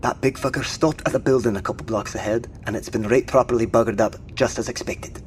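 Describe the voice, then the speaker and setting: Actor as gruff British marine sergeant, noisy scene. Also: male voice, medium pitch, fairly even intonation, fairly quick, natural voice. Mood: gruff, commanding. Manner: gruff, hushed, slangy, commanding. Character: gruff British Royal Marines sergeant, wary and commanding survivor. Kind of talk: audio drama acting.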